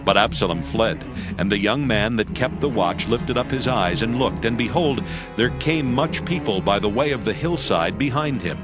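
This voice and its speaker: A man's voice: on the low side, animated, moderate pace. A man giving a solemn scripted narration of scripture in archaic English. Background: faint music.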